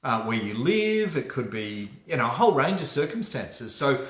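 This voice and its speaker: A man's voice: medium pitch, big pitch swings, moderate pace, fairly bright tone. A man with an Australian accent hosting a casual motivational livestream, chatty and often checking in with "right?". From Australia, born in New Zealand. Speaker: host of a personal development livestream. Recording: roomy, echoey room sound.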